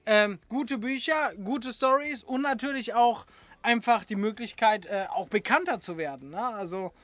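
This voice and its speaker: A man's voice: very high voice, moderate pace, fairly bright tone, no echo. A man hosting a podcast, asking questions in a casual, jokey way. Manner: jokey, playful. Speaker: podcast host, interviewer. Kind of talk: casual chat.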